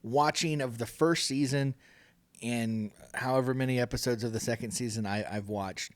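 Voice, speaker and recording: A man's voice: medium pitch, steady tone, moderate pace. A man co-hosting a podcast in casual, easygoing banter. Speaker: podcast co-host.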